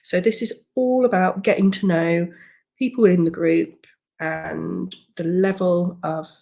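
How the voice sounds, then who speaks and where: A woman with a cold and a slightly croaky voice, walking a webinar audience through her online group in a chatty way with many fillers and restarts. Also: on the low side, steady tone, measured pace, warm tone. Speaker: writing coach. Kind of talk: screen-share webinar walkthrough.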